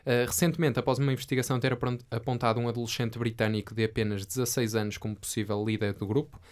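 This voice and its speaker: A man's voice: medium pitch, flat delivery, quick pace, darkish tone. A young man from Portugal with a European Portuguese accent, co-hosting a casual tech podcast, hesitant with fillers as he reads the news. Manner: hesitant, many fillers and restarts while reading. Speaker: podcast co-host.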